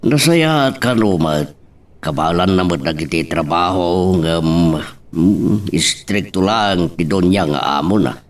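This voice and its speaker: A low male voice, slightly far from the microphone, for an older country father played by an actor. He has an Ilocano accent and sounds fatherly and concerned, in melodrama style. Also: takes it slowly. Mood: explaining. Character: older rural father, worried about his daughter.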